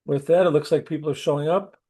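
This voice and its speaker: A middle-aged man, a university instructor, opening an online class session while losing his voice from a cold, talking conversationally and clearing his throat. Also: fairly high pitch, fairly even intonation, quick pace, dark tone. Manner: conversational, clears throat often, restarts sentences. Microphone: computer mic on a video call.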